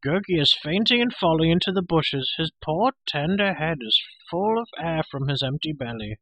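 A man's voice: fairly high pitch, animated, moderate pace, bright, forceful tone, no echo, pitched above the performer's own voice, rough recording. A man voicing a hairy, half-animal storybook creature who wails, pleads and fawns hungrily. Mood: feigned faint, whining. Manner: wailing, pleading, fawning, speaks of himself in third person. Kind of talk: storybook character voice.

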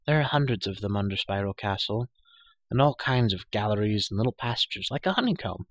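A man's voice: lowish voice, expressive, a little fast, dry recording, dull audio. A man voicing a chatty, bossy young girl of royal birth in a storybook, prattling matter-of-factly and scolding. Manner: prattling, matter-of-fact, indignant. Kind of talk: storybook character voice.

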